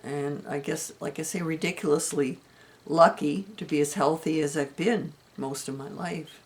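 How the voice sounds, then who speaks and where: Older lady in her 70s, low voice, kinda hoarse, rambling on candidly to the camera at home. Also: fairly even intonation, normal speed. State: voice going hoarse. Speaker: vlogger. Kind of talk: casual personal health update.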